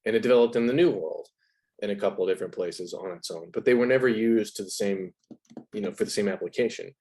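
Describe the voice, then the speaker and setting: A man's voice: lowish voice, fast talker, a little mellow. A man talking as an interview guest, explaining ideas in a casual, conversational way.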